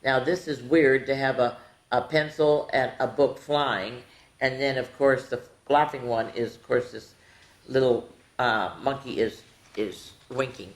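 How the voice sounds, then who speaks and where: A woman's voice: very deep voice, fairly even intonation, unhurried, reverberant. An older woman reading to children with chatty, folksy asides, speaking playfully and directly to her young listeners. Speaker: storytime host reading picture books to children.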